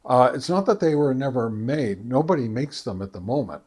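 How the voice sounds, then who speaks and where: An American man presenting a hobby video in a casual, unscripted way, speaking haltingly with many filler pauses. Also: mid-range voice, lively intonation, darkish tone, breathy edge. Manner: halting, hesitant delivery with frequent long filler pauses. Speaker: ham radio video creator and presenter. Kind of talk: casual unscripted product talk.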